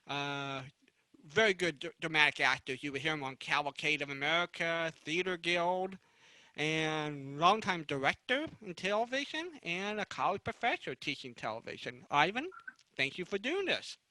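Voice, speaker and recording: Male panel moderator, high and bright, conversational, halting delivery. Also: expressive, no room sound, low-quality recording. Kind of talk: unscripted panel introductions.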